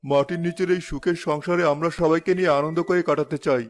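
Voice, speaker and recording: A man's voice: on the high side, steady tone, fast, natural voice. A man voicing a loyal, principled village schoolmaster in a cartoon moral story, earnest and resolute. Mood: reassuring. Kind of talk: animated moral story voice acting. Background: background music.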